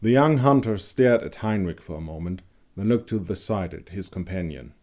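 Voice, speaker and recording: A male storyteller with a very low voice reads dark fantasy fiction. The delivery is dramatic and scripted, in the manner of an audiobook. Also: lively intonation, moderate pace. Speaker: author and narrator.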